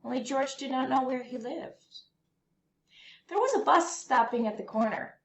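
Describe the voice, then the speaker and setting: A woman reading a children's picture book aloud as a storyteller, with expressive, deliberate phrasing for young listeners. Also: high-pitched, gravelly. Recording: roomy echo, faint background noise.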